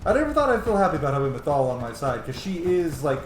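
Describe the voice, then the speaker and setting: Excitable man gaming in a roomy echo. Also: fairly high pitch, fast. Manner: excitable, sweary reactions. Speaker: gamer host playing and commenting on a role-playing video game. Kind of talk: casual let's play commentary. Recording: roomy echo, clearly noisy background.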